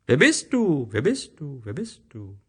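A storybook mountain echo, performed by a man, calling in a high, swooping voice. Each phrase comes again, fading as it repeats. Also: wildly swooping pitch, unhurried, breathy edge, exaggerated voice. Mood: fading, mimicking. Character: mountain echo, hollow repeating spirit of the rocks.

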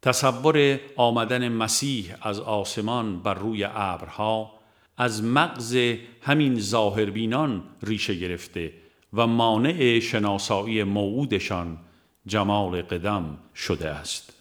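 A man reading a religious book aloud as a formal, measured scripted narration. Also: on the low side. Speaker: audiobook narrator.

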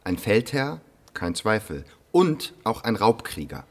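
Low-voiced, dry academic historian. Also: male voice, big pitch swings, a little slow, gravelly, exaggerated voice. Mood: drily provocative. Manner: sober, precise, matter-of-fact. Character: dry, unyielding male academic historian, provocatively factual. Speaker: historian giving expert opinion. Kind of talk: naturalistic radio play dialogue.

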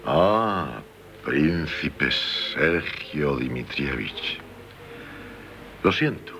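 Very deep, halting, tormented gentleman. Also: sing-song, slight background noise, exaggerated voice. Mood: courteous, wounded. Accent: Castilian Spanish. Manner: halting, stammering, repeating words. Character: dissolute, guilt-ridden, passionate gentleman in love. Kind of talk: 1970s radio theatre acting. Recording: 1970s radio drama with faint music.

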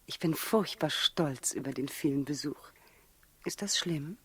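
An actress in a 1960s radio play as a gentle, proud, innocent young mother, speaking in a hushed, earnest, intimate way with a breathy voice. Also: low voice, a little slow, heavily acted.

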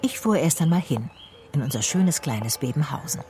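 A female private eye in a radio play, speaking in a lowish voice. She observes people closely and comments with dry, wry understatement. Music sits behind her. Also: expressive, normal speed, warm tone. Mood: wry narrating. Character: Cher Ebinger, wry, observant Swabian female private detective. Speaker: narrator and lead character. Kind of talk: radio play narration and dialogue.

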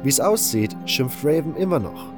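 A man's voice: a little high, expressive, voice pushed up, natural voice. Man voicing a grave, cautious camp comrade over music. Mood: watchful. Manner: serious, measured. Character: grave, cautious male comrade sizing up danger. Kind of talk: fantasy audio drama.

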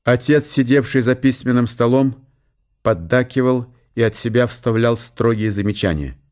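A man's voice: medium pitch, normal speed, a little mellow. A man reading literary narration as the narrator of a radio drama.

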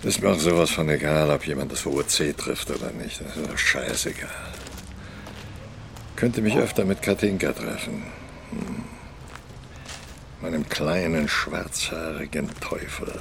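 An actor playing a bitter, self-pitying opera star in his eighties, muttering and brooding with long pauses in a radio drama. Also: noisy background, full character voice. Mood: bitter, resigned. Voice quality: gravelly, low. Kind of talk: audio drama acting.

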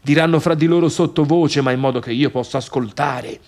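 An actor playing a middle-aged failed pianist, bitter and obsessive, in a scornful, sarcastic theatrical monologue about the sister who left him. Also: male voice, fairly high pitch, brisk, darkish tone, natural voice. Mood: brooding, sardonic. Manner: scornful, sarcastic, brooding, swinging between muttering and outbursts.

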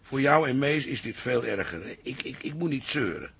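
An actor playing a gruff but tender older father in a radio drama, speaking in halting, colloquial phrases. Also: mid-range voice, animated, a bit bright, full character voice. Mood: gently reassuring. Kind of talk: naturalistic radio drama acting.

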